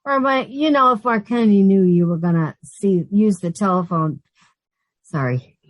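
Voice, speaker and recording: A woman's voice: mid-range voice, moderate pace, dry recording, natural voice. An actress playing a wisecracking, exasperated but fond working woman in an old-time radio sitcom style, with wry delivery. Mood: sardonic, exasperated. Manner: wry, sardonic quips.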